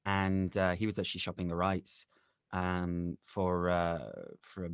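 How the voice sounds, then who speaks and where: Deep-voiced man, halting, very dry recording. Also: little pitch movement, clear, resonant tone, dry recording. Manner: halting, searching for words. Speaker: podcast guest, actor and producer. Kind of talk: casual interview reminiscence.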